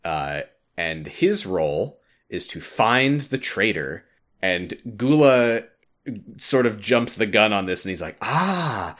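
A man's voice: on the low side, lively intonation, slow, fairly bright tone. A man hosting a podcast, casually retelling a game story with informal commentary and frequent laughter. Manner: laughs often, informal, mildly sweary. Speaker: podcast host.

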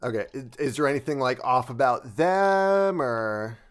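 A man's voice: mid-range voice, animated, unhurried, clear, resonant tone. A man playing a tabletop roleplaying game, telling jokes and rambling with many restarts. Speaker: player.

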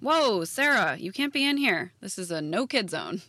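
Sarcastic American woman teacher, lively pitch. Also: moderate pace, exaggerated voice. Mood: stern. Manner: deadpan, blunt, profane. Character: jaded, sarcastic female high school teacher. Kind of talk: naturalistic audio drama dialogue.

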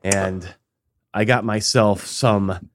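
Stuffed-up guy cohosting a chat show, halting and rambly, lots of ums, dead dry sound. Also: lowish voice, steady tone, dry recording. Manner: rambling, halting with many fillers and restarts. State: congested, recovering from illness. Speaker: podcast co-host. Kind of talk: casual chat.

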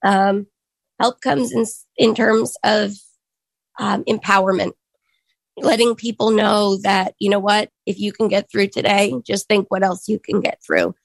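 Woman, 30s, hoarse and gravelly, dry close sound, earnest personal talk with lots of ums. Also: mid-range voice, little pitch movement, dry recording. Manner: earnest, reflective, pauses with frequent ums. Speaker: speaker sharing her story.

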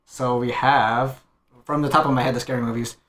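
A man on a podcast panel, chatting informally and thinking aloud in halting phrases. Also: medium pitch, fairly even intonation, a bit bright. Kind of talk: casual chat.

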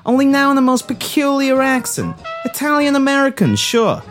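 Woman doing baffled hard-boiled detective, slangy, super animated pitch, music behind. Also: normal speed. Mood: suspenseful narrating. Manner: slangy, incredulous. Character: Rusty, baffled hard-boiled 1920s-style detective narrator. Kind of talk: pulp detective radio play.

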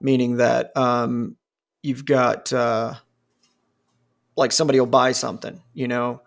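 A man's voice: medium pitch, level tone, measured pace, vocal fry. A man in his forties talking casually, rambling with lots of fillers and restarts and swearing freely. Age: 40s. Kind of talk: casual conversation.

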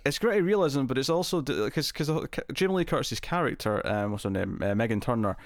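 A man's voice: medium pitch, expressive, quick pace, dry recording, studio quality. A man hosting a casual film podcast, talking in a chatty way, laughing often and restarting words. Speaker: podcast host. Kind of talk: casual chat.

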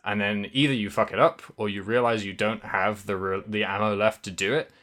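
A man's voice: lowish voice, steady tone, brisk, a bit bright. A young man co-hosting a podcast, chatting casually and rambling, correcting himself and swearing now and then. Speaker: podcast co-host. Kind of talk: casual podcast chat about a video game.

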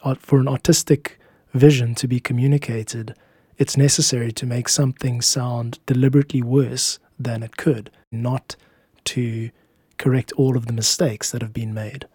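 A man narrates his podcast in a relaxed, mellow voice of medium pitch. His delivery is scripted and reflective, with deliberate phrasing. The sound is dry, with no room echo. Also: breathy edge. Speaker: podcast host and composer.

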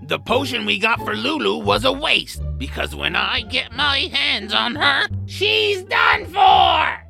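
A cranky, eccentric troll cook from a fantasy audio drama, played by a woman. He has a bright, forceful edge over heavy gravelly creak. He grumbles theatrically in a high-set, excitable voice that leaps around in pitch. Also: takes it slowly, faint music behind, exaggerated voice. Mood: furious, ranting. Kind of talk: family audio drama character acting.

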